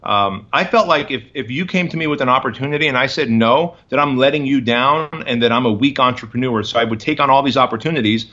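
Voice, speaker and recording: A man's voice: medium pitch, fast talker, hardly any pauses, bright, forceful tone, muffled recording. A man in his forties, a guest in an interview, telling his story in emphatic, punchy short phrases. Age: 40s.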